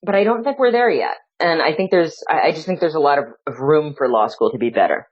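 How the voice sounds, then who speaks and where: Woman, law professor, interview guest, lively rising and falling delivery, thoughtful, hedging, dull lo-fi sound. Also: deep voice, quick pace. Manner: thoughtful, full of "you know" fillers and restarts. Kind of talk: interview answers. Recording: dull lo-fi audio.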